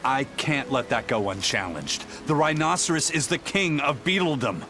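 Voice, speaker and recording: A man's voice: mid-range voice, animated, normal speed, sharp tone, soft background music. A man voicing a stern, proud pirate in a video game, matter-of-fact but dramatic. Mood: indignant. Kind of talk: English video game voice acting.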